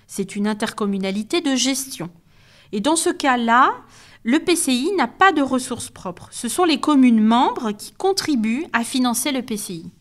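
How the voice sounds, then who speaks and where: A woman's voice: fairly high pitch, lively intonation, normal speed. A woman giving a structured educational podcast explanation in a didactic, methodical manner, signposting each point.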